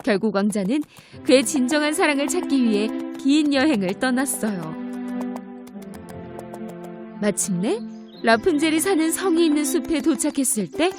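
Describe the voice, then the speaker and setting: A woman's voice: high voice, animated, normal speed, pauses often. A woman narrating a scripted fairy tale for children as a storyteller. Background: music.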